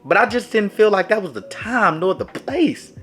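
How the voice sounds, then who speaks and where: A man's voice: high-pitched, moderate pace. A man telling a dramatic sports story in a casual storytime vlog, talking straight to his viewers. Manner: dramatic, animated, speaks to viewers directly. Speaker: storyteller vlogger. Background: faint background music.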